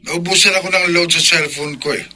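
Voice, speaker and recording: An actor playing a menacing, scheming young gambler boyfriend in a radio drama, blunt and threatening. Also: male voice, on the high side, a little fast, pushed and bright, faint music behind. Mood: sullen, demanding.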